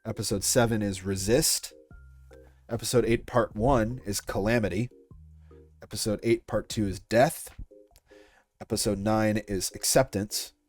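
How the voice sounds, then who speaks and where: A man's voice: fairly low pitch, fairly even intonation, unhurried, long pauses, darkish tone, faint music behind. A young man answering interview questions about his project in a casual, chatty and informal way. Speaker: series creator being interviewed.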